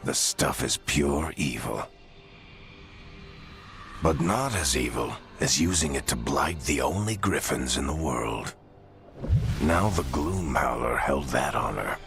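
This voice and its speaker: Over music, a grave fantasy game storyteller. His voice sits extremely low and moves slowly, measured and portentous like scripted legend. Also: expressive, gravelly, heavily acted. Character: gravely solemn male storyteller narrating a fantasy tale. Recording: music behind the narration.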